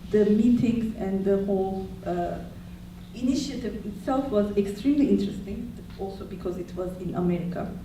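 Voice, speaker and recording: A woman from Turkey whose first language is Turkish, explaining history on a university panel in a measured way, with frequent fillers. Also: medium pitch, mellow, slight background noise. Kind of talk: academic panel talk. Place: university lecture hall. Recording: echoey hall, distant mic.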